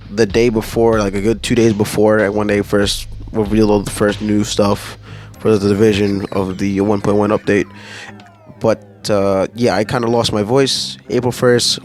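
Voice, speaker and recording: A man hosting a gaming channel, casually reading through patch notes and rambling with many fillers and restarts. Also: lowish voice.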